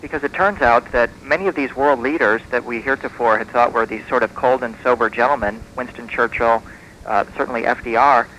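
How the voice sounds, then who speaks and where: Bright-voiced man on noisy shortwave radio. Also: mid-range voice, brisk. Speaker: guest author on a radio talk show. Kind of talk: conversational radio interview, explanatory storytelling. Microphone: radio broadcast.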